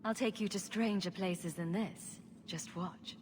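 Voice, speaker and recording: A woman's voice: medium pitch, fairly even intonation, unhurried, a little mellow, big-room sound, a little hiss or hum, close to an everyday voice. An actress playing a wry, sarcastic young female rogue champion in a video game cutscene. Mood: confident, dry. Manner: wry, teasing.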